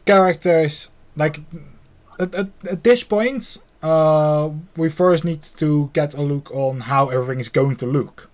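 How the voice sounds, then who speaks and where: A man chatting casually on a stream in a somewhat halting, hesitant way. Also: a little high. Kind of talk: casual chat.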